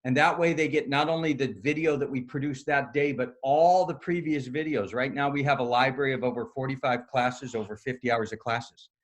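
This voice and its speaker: A middle-aged man, a yoga studio owner, giving a loud, upbeat, chatty screen-share talk over a video call, with lots of "right?" tag questions. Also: mid-range voice, steady tone, brisk, hardly any pauses. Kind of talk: casual screen-share presentation.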